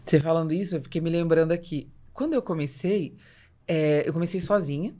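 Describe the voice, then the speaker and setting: A Brazilian woman hosting a podcast interview, telling personal anecdotes in an animated way and acting out dialogue. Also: sits low, a little fast. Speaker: podcast host and business coach.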